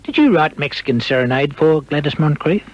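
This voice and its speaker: A man's voice: a little high, animated, fairly quick. A man conducting an archival radio interview as the interviewer. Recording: dull, band-limited old tape.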